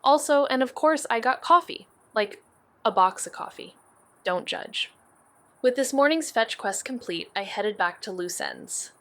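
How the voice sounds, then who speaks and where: A woman playing a wry, wary young amateur detective in a noir podcast drama, with a deadpan, sardonic way of talking. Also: a little high, unhurried, heavily acted. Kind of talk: first-person noir podcast drama narration and dialogue.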